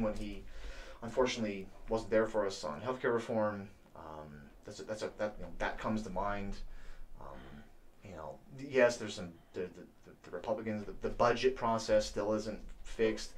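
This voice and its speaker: A man co-hosting a political talk show, speaking haltingly with many restarts. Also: on the low side, far from the mic. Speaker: co-host. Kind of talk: casual political discussion.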